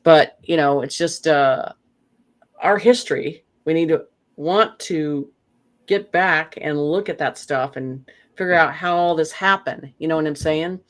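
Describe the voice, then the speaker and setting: A guest in a casual conversation, rambling and animated, often saying "you know.". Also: mid-range voice, measured pace. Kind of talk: casual chat.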